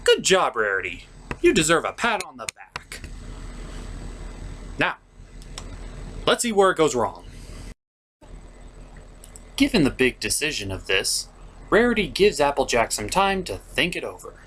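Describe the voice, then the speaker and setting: A man's voice: on the high side, huge pitch swings, unhurried, long pauses, fairly bright tone, slight background noise. A man hosting a comedic cartoon review, partly scripted and partly ad-libbed, with a sarcastic, theatrical delivery. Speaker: host of a cartoon review show.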